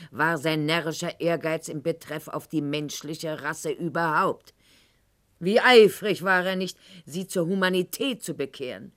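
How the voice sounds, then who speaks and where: A woman's voice: lowish voice, a bit bright. An actress playing a proud, bitter, sickly aristocratic lady in a theatrical radio monologue, with mocking, precise, formal diction.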